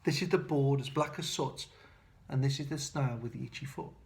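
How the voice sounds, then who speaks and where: A man telling a children's story, reading rhyming verse aloud with dramatic, deliberate emphasis. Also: medium pitch, vocal fry. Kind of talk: expressive read-aloud of a rhyming picture book.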